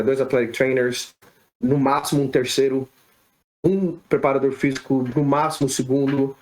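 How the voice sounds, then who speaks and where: A Brazilian man speaks as a guest in a casual podcast interview, with a voice of middling pitch. He talks in a loose, conversational flow, often tacking a quick tag question onto his sentences and restarting his words mid-thought. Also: a little mellow. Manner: conversational, frequent "né?" tags and restarts. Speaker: physiotherapist guest.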